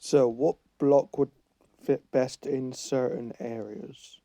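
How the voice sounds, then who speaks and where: A man's voice: medium pitch, fairly even intonation, takes it slowly, warm tone, dry recording. A man with a cold and a fading voice, giving rambling gameplay commentary that keeps correcting itself. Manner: rambling, self-correcting, thinks aloud while playing. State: has a cold, losing his voice. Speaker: gaming channel host.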